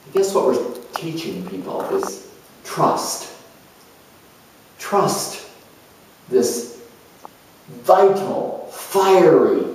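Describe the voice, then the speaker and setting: A man playing an earnest, self-assured spiritual coach in a dramatized monologue, reflective and halting, with deliberate pauses. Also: mid-range voice, darkish tone. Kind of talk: dramatized monologue as if answering an interview. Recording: distant mic in very echoey room.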